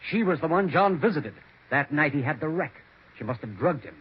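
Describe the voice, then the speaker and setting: An actor playing a scornful, relentless amateur sleuth in a 1940s radio mystery. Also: male voice, a little high, expressive, a little fast, lightly acted. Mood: accusing. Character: sharp, accusing amateur sleuth nephew exposing a killer. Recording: dull, noisy 1940s radio recording.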